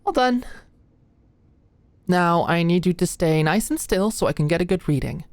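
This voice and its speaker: Low-voiced, nervous young female med student acted out by a woman. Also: animated, normal speed, a little mellow, no echo. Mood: nervous, focused. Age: young adult. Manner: stammering, hesitant, muttering asides under breath. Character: anxious, flustered young female medical student, shy and apologetic. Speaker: voice actor in audio roleplay. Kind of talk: intimate ASMR roleplay.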